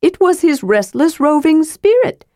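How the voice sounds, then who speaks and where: Woman doing a high chirpy little kender man. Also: big pitch swings, unhurried, darkish tone, dry recording, clean studio sound. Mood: playful. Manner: chirpy, bouncy storytelling. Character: small cheeky male kender, fibbing and excitable.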